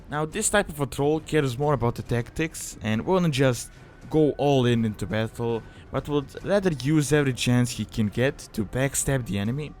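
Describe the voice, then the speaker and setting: A man narrating a video guide in a casual, explanatory way, with informal, conversational phrasing and loose hedging. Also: medium pitch.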